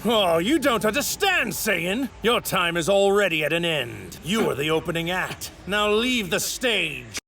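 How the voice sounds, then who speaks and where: An arrogant god in a warrior's body for an anime dub. His delivery is pompous and theatrical, swinging widely in pitch as he taunts. The voice is forceful and bright, with a gravelly rasp underneath. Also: big pitch swings, measured pace, few pauses, exaggerated voice. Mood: grandiose, contemptuous. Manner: pompous, grandiose, taunting, savoring words. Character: arrogant divine villain in a stolen warrior body. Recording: ripped voice track with clear background noise.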